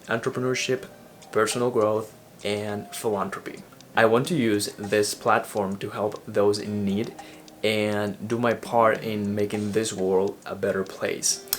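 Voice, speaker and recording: Earnest young man with Ecuadorian accent. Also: on the low side. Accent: Ecuadorian Spanish. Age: young adult. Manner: earnest, motivational, a few restarts. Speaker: vlogger. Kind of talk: personal vlog monologue.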